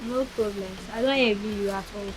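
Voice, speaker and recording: A woman's voice: mid-range voice, fairly even intonation, brisk, natural voice, low-quality recording. An actress playing a chatty, teasing city girlfriend.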